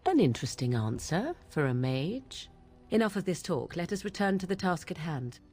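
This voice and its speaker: An unsentimental young witch in a fantasy video game. Her voice is fairly low and husky, with very mobile intonation and aloof, old-fashioned phrasing. Music plays behind her. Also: sing-song, darkish tone. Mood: dismissive. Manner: archaic, theatrical, measured phrasing. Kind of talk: video game dialogue acting.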